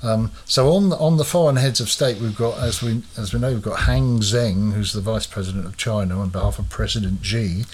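A man's voice: lowish voice, brisk, few pauses, slight background noise. A man hosting a casual chat, quizzing his co-host with lots of hesitant fillers.

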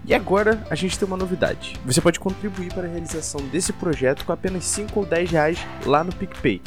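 A male podcast host, upbeat and friendly as he asks his guest questions. Clear music plays under his voice the whole time. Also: high-pitched, fast. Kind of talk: podcast hosting with sponsor plug.

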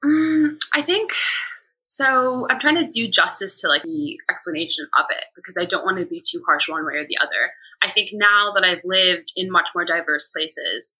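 Bright, husky young American woman. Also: fairly low pitch, moderate pace, rough recording. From United States. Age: young adult. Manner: animated, quotes herself and others in anecdotes. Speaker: podcast guest. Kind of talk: candid conversational storytelling.

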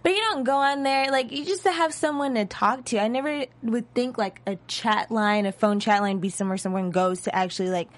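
A woman's voice: fairly high pitch, a little fast, hardly any pauses. A woman co-hosting a podcast, giving blunt, emphatic opinions in casual chat. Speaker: podcast co-host.

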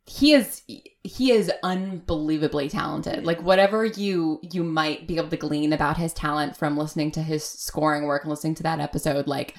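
A woman's voice: low voice, fairly quick, few pauses. A woman, talking casually and effusively as an interview guest, rambling and repeating words for emphasis. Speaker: guest, audio fiction creator.

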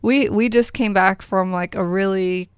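A woman's voice: medium pitch, fairly even intonation, a little slow, clear, resonant tone, dry recording, clean studio sound. A woman hosting a podcast in a playful, jokey way, with theatrical swings in her voice as she chats. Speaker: podcast host. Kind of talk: casual chat.

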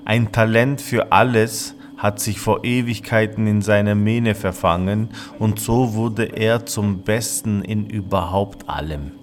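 A man's voice: lowish voice, fairly even intonation, unhurried, hardly any pauses. A man narrating a scripted fable as a storyteller.

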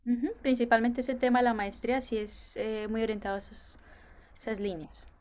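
A young woman from Colombia with a Colombian accent, answering interview questions in a thoughtful way with many filler pauses. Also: on the high side, fairly even intonation, a little mellow. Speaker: interview guest.

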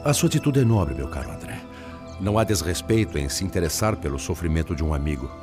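A man's voice: deep voice, lively intonation, music in the background. An actor with a Brazilian accent playing a sorrowful male spirit, once a principled lawyer, in formal, eloquent radio-drama style. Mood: troubled, dignified. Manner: formal, eloquent, sorrowful, halting when troubled.

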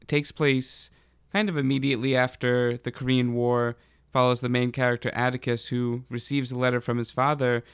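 Crisp, close-sounding male podcast host. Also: medium pitch, fairly bright tone, no room sound. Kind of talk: conversational podcast hosting.

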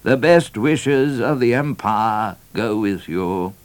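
A man voicing a fretful, gullible colonial governor in a storybook, with a pompous, drawn-out delivery. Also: fairly low pitch, animated, no room sound, pitched above the performer's own voice. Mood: grateful cheer.

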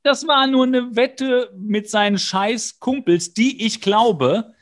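A man co-hosting a podcast, casually and comically retelling an episode in an animated way with exasperated, slangy outbursts. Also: very high voice, takes it slowly. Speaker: podcast co-host. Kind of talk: casual comedic episode recap.

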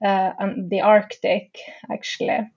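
A woman's voice: mid-range voice, flat delivery, measured pace, creaky, a little mellow, dull audio. A woman from northern Norway, a podcast guest who laughs often and talks with hesitant, self-mocking humor. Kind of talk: casual interview chat.

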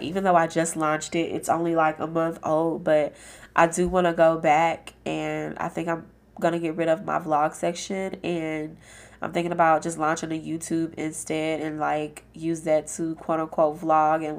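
A low-pitched woman with a gravelly, fry-heavy voice, hoarse and a little nasal from a cold. She hosts her own podcast and talks in a loose, frank, filler-filled chat style. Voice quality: raspy and nasal. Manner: chatty and frank, with lots of fillers. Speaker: podcast host. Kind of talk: casual solo podcast chat.

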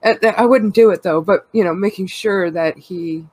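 A woman's voice: mid-range voice, moderate pace, a little mellow, no echo. A Canadian woman with a congested, cold-stuffed voice, chatting candidly and rambling, laughing often. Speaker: podcast co-host. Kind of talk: casual personal chat.

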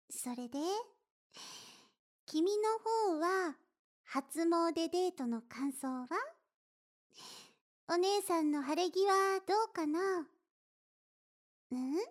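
A very high, girlish young woman played by a voice actress in the role of a doting older sister. She talks slowly and tenderly, straight to the listener, with a playful, coaxing lilt. It is intimate Japanese situation-drama acting, affectionate and a little teasing. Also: expressive, heavily acted. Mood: cheerful, fond. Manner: gentle, coaxing, playful, addressing listener softly.

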